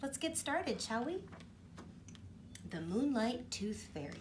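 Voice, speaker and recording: A woman's voice: on the high side, slow pace, recorded from a distance, noisy background. A woman teacher reading a storybook aloud to children, stopping often to ask them questions. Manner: expressive, asks the children questions. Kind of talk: storybook read-aloud for kids.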